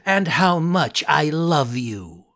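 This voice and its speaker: Puppet boy, young and eager, high husky voice, swoops around a lot; man reading aloud. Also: sing-song, takes it slowly, a bit bright, exaggerated voice. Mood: tearful. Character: excitable wooden boy puppet, young, tearful.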